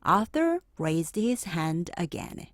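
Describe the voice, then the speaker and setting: Female children's read-aloud narrator, lively intonation at a slightly slow pace, expressive phrasing. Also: lowish voice, no echo, clean studio sound. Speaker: storybook narrator.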